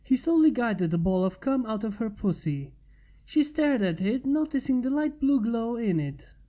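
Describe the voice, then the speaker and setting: A woman's voice: a little high, lively intonation, moderate pace, dark tone. A woman reading fan fiction aloud as a narrator.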